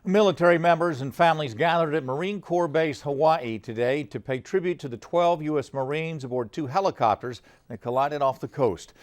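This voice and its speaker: A man anchoring a television newscast, reading scripted stories in a formal news delivery. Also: a little high.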